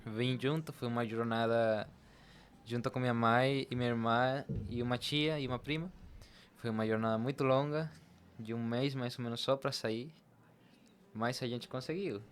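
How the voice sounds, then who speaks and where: A man's voice: medium pitch, fairly even intonation, normal speed, slight background noise. A young man from Latin America, telling his personal story conversationally as a podcast guest.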